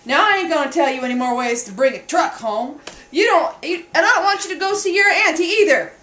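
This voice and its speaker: Woman putting on a shrill exasperated mother, loud folksy scolding, soaring high pitch, roomy echo, faint hum. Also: animated, fairly quick. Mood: stern warning. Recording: echoey room, faint background noise.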